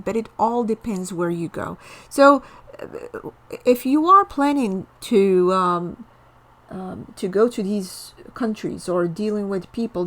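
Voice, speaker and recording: A woman's voice: on the high side, a bit bright. A woman from Morocco, hosting an informal lecture on culture, earnest and explanatory, often checking in with the listener. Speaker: host, cross-cultural trainer.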